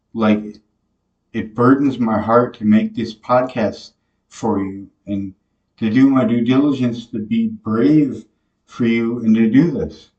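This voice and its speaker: Young guy rambling slowly at his webcam, lots of um and like, sounds far off in a bare echoey room. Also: mid-range voice, a little mellow, dull audio. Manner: slow, rambling, with many fillers and restarts. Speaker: podcast host. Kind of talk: rambling devotional monologue. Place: small bare room indoors. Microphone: camera mic from a few feet away. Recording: echoey room, voice a bit distant from the mic.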